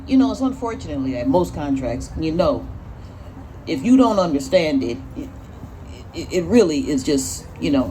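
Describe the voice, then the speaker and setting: A panelist talks at length in a rambling, stop-start way, often backing up to begin a phrase again. The voice is mellow and sits in a middle pitch. A faint hum of noise sits behind it. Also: low-quality recording. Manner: rambling, with frequent stumbles and restarts. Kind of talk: panel discussion.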